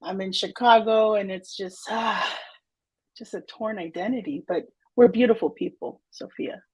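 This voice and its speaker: Brief: woman interview host, husky tone, energetic and casual, quick to laugh. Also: mid-range voice. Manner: upbeat, slangy and animated, laughs easily.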